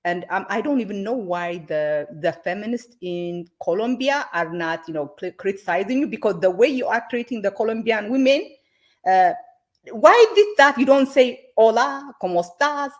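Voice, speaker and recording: A woman from Ethiopia, hosting a confrontational interview and asking pointed, accusing questions between many halting fillers. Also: medium pitch, animated. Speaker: host.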